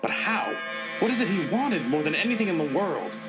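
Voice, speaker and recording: A man's voice: high voice, sing-song, brisk. A man playing an earnest hero who narrates a comic radio play with mock-serious suspense. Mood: scheming suspense. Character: earnest male hero narrating a final showdown. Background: music.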